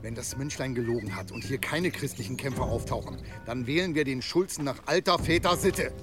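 An actor playing a quarrelsome, scornful goat farmer in a German radio drama, sneering and gruffly argumentative. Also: male voice, mid-range voice, brisk, music in the background, natural voice. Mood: grudging.

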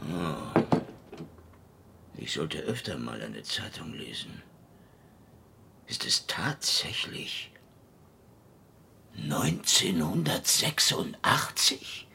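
An actor playing a grumpy old hard-drinking private detective in a hardboiled radio drama, sardonic and world-weary. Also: male voice, on the low side, pushed and bright, heavily acted. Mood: weary, mocking. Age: older. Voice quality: gravelly. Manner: sardonic, grumbling, drawling. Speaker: lead actor and first-person narrator.

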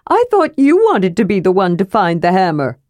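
A woman's voice: a little high, wildly swooping pitch, a little slow, a little mellow, no room sound, clean studio sound, pitched above the performer's own voice. A woman voicing an eager, chatty, childlike male kender adventurer in a fantasy audiobook, given to wailing and arguing. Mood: eager, argumentative.

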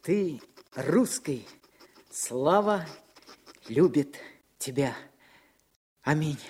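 A humble village church scribe in a radio drama. His male voice sits high, and he speaks with devout, earnest deference in an old-fashioned churchly manner. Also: expressive, extremely slow, a little mellow, slightly rough, full character voice. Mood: humble, devoted. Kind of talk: Soviet radio drama acting.

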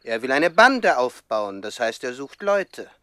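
An actor playing a cold, scheming gang boss in a radio play, smug and commanding. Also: male voice, medium pitch, big pitch swings, unhurried, bright, forceful tone, clean tone, no echo, studio quality. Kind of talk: 1960s German radio play acting.

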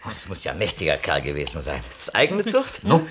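An actor playing a polite, educated publisher's editor who speaks tactfully and effusively in a radio play. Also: male voice, low voice, wildly swooping pitch, fairly bright tone, a little hiss or hum, exaggerated voice. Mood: delighted, appreciative. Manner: courteous, effusive, carefully measured. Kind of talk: naturalistic radio play dialogue.

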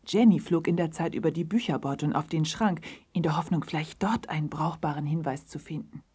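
A woman with a husky, breathy voice narrating a children's story in a dramatic, suspenseful way. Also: sits low, a little fast, dark tone.

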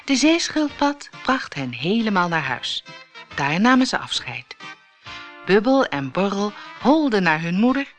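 A woman reading a scripted children's audiobook in an expressive storytelling manner. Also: mid-range voice, unhurried, soft background music.

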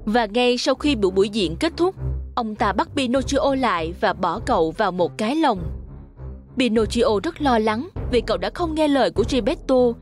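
A woman's voice: on the high side, lively intonation, moderate pace, soft background music. A woman narrating a scripted fairy tale for children.

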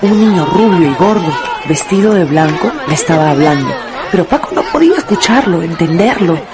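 A woman narrator reading a scripted radio story in an expressive, dramatic storytelling manner. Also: lowish voice, a little fast. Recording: loud music and crowd effects behind.

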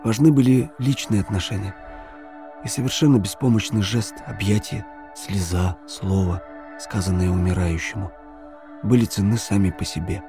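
A man's voice: on the low side, normal speed, dark tone. A man giving a dramatic scripted narration of a novel as the narrator of an audio play. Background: music.

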